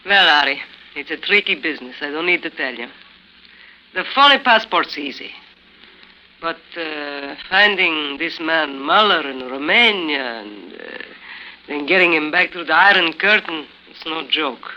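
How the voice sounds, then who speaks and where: A shrewd older woman of the underworld in a 1950s radio play. She is blunt and weighs each point, in a very sharp-toned voice. The recording is old and slightly noisy. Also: deep voice, lively intonation, a little slow, full character voice. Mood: shrewd, cautious. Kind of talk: 1950s radio drama acting.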